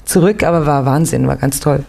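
A young Swiss woman, an actress and singer, chatting lively as a guest in a late-night radio interview, with a faint Swiss German accent. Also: low-pitched, fairly quick, gravelly. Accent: slight Swiss German. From Switzerland, Winterthur. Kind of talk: relaxed radio interview chat.

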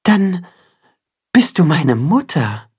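A storyteller voicing a curious young male chicken who stammers and asks questions in hushed amazement. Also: mid-range voice, very animated, slow pace, slightly rough, voice pushed up, heavily acted. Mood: amazed.

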